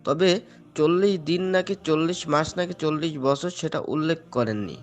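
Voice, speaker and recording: A man's voice: a little high, moderate pace, soft background music, lightly acted. A man playing a devout father in an Islamic moral cartoon, speaking earnestly and patiently as he instructs his children. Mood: earnest admonishing. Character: devout, gently admonishing father of two.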